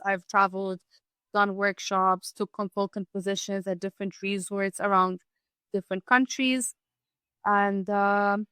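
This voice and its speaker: A woman's voice: mid-range voice, a bit bright, clear, resonant tone, no room sound. A woman in her thirties from Lebanon who grew up in southern Africa, telling her personal story openly in a conversational, explanatory way as a guest. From Lebanese, grew up in Zimbabwe and the Gambia. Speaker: podcast guest, holistic wellness practitioner.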